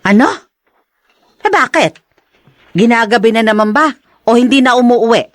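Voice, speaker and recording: A woman's voice: fairly high pitch, normal speed, no echo, natural voice. A woman voicing a protective grandmother who scolds and fusses over her daughter in a radio drama. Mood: indignant. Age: older. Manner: scolding, indignant. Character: protective grandmother, mother of the wife. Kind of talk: Filipino radio drama acting.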